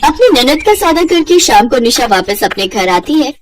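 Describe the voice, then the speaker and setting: A female storyteller with a high-pitched voice. She delivers scripted narration for a family moral story. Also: big pitch swings, normal speed, noisy background.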